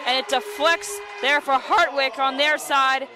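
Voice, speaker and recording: A woman's voice: sits high, steady tone, unhurried, music in the background. A woman giving live play-by-play commentary as a sports announcer. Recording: clear gymnasium and ballpark ambient noise.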